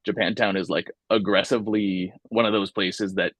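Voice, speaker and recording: A man's voice: fairly low pitch, steady tone, normal speed, fairly bright tone, dry recording. A young man from California, talking casually and thoughtfully in an oral history interview, with many fillers and restarts.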